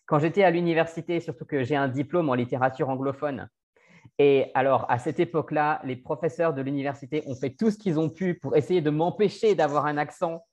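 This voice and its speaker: Higher-voiced man, conversational. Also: fairly quick, darkish tone. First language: French. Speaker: podcast host and French language coach. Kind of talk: conversational interview.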